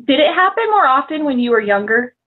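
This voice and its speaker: A woman's voice: sits high, sing-song, a little fast. A woman in her twenties, a guest, chatting casually and thoughtfully over a call. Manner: thoughtful, hesitant, laughs easily. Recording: tinny call audio.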